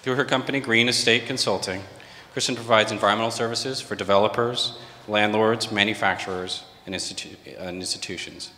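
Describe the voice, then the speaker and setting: A man's voice: lowish voice, fairly even intonation, bright, forceful tone, a little hiss or hum. A man hosting a panel event, introducing the sponsor and reading out the moderator's bio. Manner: rapid, reading a prepared bio. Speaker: event host, company CEO. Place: event space with long reverb. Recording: reverberant room, faint ambience.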